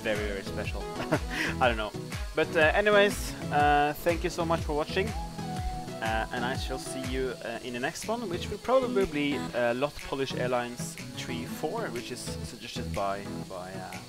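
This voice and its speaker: A young man with a Norwegian accent casually commenting on his flight-sim gameplay, relaxed and rambling with lots of fillers. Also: mid-range voice, moderate pace. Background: music playing underneath.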